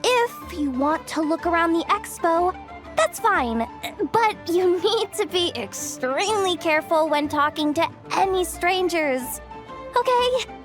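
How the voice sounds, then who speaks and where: A woman playing an earnest, overworked young woman manager in a video game, with a fretful delivery. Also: very high-pitched. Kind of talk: animated game voice acting. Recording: music under the voice.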